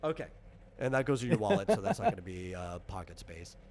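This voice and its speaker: A man's voice: sits low, sing-song, quick pace, a little mellow, slight background noise. A man acting as game master, casually explaining tabletop rules in a hesitant, thinking-aloud way.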